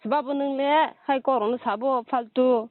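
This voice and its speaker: A man chats casually on a phone call in a strikingly high-pitched voice. The sound is narrow and tinny, as if heard down a phone line. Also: steady tone, moderate pace. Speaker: prank caller.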